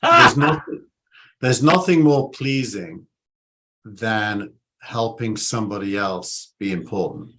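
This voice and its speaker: A man's voice: lowish voice, very animated, slow, sharp tone, poor audio. Man, Yorkshire accent, reflective, repeats his openings, panel chat. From Yorkshire, England. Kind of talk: casual panel discussion.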